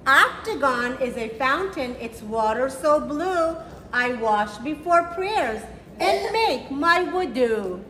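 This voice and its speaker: A woman with a high voice reads to young children as a storytime teacher. She speaks slowly and simply, with an encouraging manner. The recording is echoey, as in a roomy space. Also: lively intonation, bright, forceful tone. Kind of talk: read-aloud of a picture book for children. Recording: roomy echo with faint background noise.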